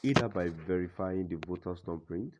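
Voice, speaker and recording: A man with a very deep voice reads the news from a script. His delivery is formal and deliberate, in the manner of a news reader presenting a written report. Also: heavy background noise.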